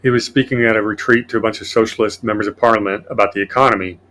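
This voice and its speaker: A man with a fairly low voice hosts a casual political podcast. He works through his notes and reads them aloud, and his delivery stays dry and sardonic. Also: fairly even intonation, racing, fairly bright tone. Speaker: podcast host.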